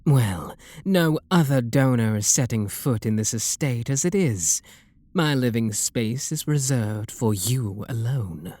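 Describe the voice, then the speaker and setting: A man playing a refined, possessive vampire lord with a British accent in an ASMR roleplay, speaking softly and deliberately in a genteel, flirtatious manner. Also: medium pitch, animated, dry recording. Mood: possessive, adoring.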